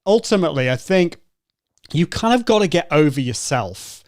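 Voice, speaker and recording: A man's voice: on the high side, big pitch swings, moderate pace, darkish tone, no echo, studio quality. A man hosting a podcast interview, talking in an encouraging, reflective way. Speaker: podcast host.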